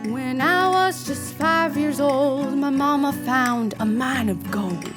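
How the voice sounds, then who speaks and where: A woman's voice: high-pitched, slow. A woman playing a proud, guarded gunslinger of the old west in a musical audio drama, blunt and unsentimental. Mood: bitter, resolute. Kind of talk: musical audio drama acting, part sung. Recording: loud music behind the voice.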